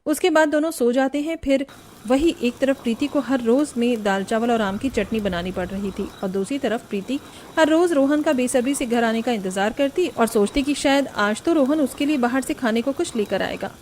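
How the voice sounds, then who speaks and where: A woman's voice: on the high side, normal speed, darkish tone, slight background noise. A woman reading scripted narration for a family cartoon story.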